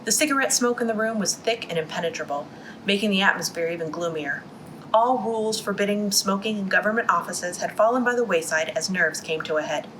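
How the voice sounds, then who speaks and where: A young woman reading a novel aloud at a library storytime, in an even reading voice with quick restarts after slips. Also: medium pitch, a little hiss or hum, low-quality recording.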